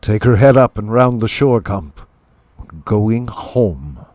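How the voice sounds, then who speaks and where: A man's voice: fairly low pitch, expressive, takes it slowly, darkish tone, no echo. A man voicing a noble warrior mouse in a storybook, solemn and determined. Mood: commanding. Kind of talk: storybook character voice.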